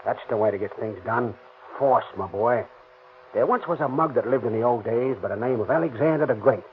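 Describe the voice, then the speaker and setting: A man's voice: mid-range voice, a little fast, close to an everyday voice. An actor playing a ruthless, bossy car thief in a 1930s radio crime drama, with slangy tough-guy talk. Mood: menacing. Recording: tinny old radio recording, faint hiss.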